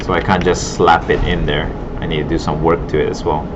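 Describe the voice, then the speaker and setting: A man teaching art, giving a casual, halting demo commentary in a classroom, thinking aloud as he goes. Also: extremely low pitch, little pitch movement. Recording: muffled broken audio, loud room noise.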